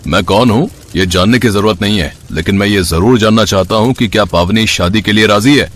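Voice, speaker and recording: A man voicing a wise, authoritative middle-aged father in an audio story, kindly and measured. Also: lowish voice, a bit bright. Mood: stern.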